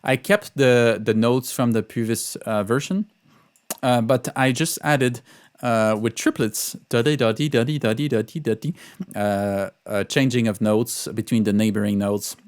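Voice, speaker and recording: Clear-voiced man explaining music, halting phrasing. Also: medium pitch, steady tone. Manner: hesitant, halting phrasing with repeated words; sings out rhythms in syllables. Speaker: composer teaching orchestration. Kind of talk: informal music tutorial, explaining a score.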